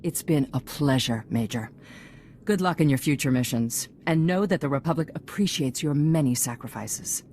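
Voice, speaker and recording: Casting: poised female galactic leader in a sci-fi game, low voice, polished statesmanlike delivery. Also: normal speed, a little mellow, slight background noise. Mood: firm, resolute. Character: poised, self-assured female head of a galactic government. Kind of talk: video game cutscene voice acting.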